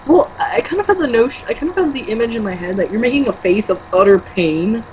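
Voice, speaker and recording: A woman's voice: on the high side, lively intonation, fast, a little mellow, slight background noise. A young woman reading a book aloud casually, with sarcastic, exasperated, foul-mouthed commentary. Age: young adult.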